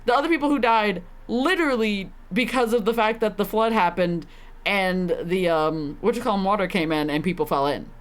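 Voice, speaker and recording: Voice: medium pitch, brisk. A game streamer giving casual live commentary, talking in an incredulous, slangy way with plenty of swearing.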